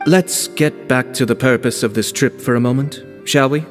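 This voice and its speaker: A man voicing a composed, courteous young nobleman in a video game, speaking formally and with measured politeness. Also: medium pitch, soft background music, lightly acted. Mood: businesslike, considering.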